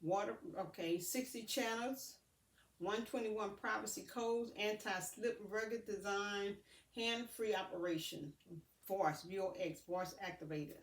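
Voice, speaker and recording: A woman giving a casual, halting unboxing chat to her viewers, addressing them in a familiar, homely way as a vlogger. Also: mid-range voice. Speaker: vlogger showing purchases. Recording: roomy echo, distant mic, faint noise.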